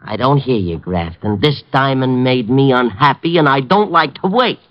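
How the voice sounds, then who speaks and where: Vicious, impatient henchman acted by a man, lively pitch swings, vintage crime radio, dull sound. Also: normal speed, natural voice. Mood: vengeful, menacing. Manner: menacing, insistent. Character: impatient, sadistic gangster henchman with a knife. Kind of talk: 1940s radio crime drama acting. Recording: muffled old radio broadcast.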